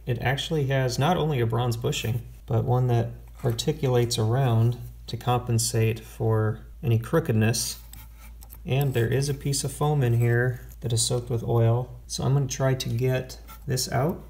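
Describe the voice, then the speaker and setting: A man's voice: medium pitch, steady tone, normal speed, gravelly, darkish tone. Middle-aged man, casual repair walkthrough. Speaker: home repair video host. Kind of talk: casual hands-on repair explanation. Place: indoor home workshop.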